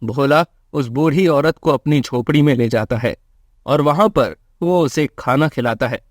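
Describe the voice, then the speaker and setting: A man's voice: mid-range voice, a little slow, no echo, clean studio sound. A man narrating a scripted children's moral story as a storyteller.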